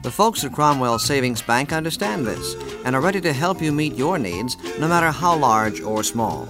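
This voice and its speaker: A male announcer reading a radio ad with a lively, sweeping intonation and a reassuring tone. Music plays clearly beneath him, as in a local radio spot. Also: normal speed. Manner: smooth, reassuring pitch. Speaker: commercial announcer. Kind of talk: scripted radio ad read.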